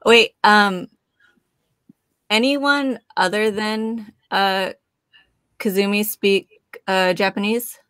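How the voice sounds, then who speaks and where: A woman leads a casual video-call chat, laughing easily and putting questions to the panel. Her voice sits at a middle pitch, and the audio is dry. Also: slow pace, long pauses, clear, resonant tone, dry recording. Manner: warmly laughing, conversational, asks questions. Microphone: webcam on a video call.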